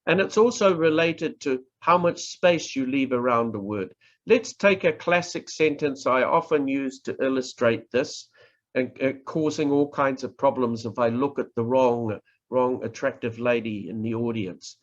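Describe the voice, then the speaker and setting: A man with a New Zealand accent giving a public speaking workshop, talking in a measured, deliberate way and stressing words to demonstrate. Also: medium pitch. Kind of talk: slow instructional presentation. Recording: dull lo-fi recording.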